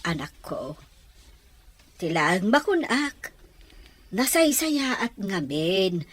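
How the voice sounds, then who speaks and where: Woman acting worried mom giving advice, slow talking, swoopy pitch, ilocano accent, radio drama. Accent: Ilocano Filipino. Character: worried, advising mother of a student.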